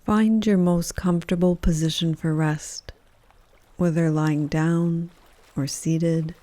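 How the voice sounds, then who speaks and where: A woman leading a guided yoga nidra meditation in a calm, gentle, measured voice with soft pauses between phrases. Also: fairly low pitch, no echo. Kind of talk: guided meditation, soothing scripted narration.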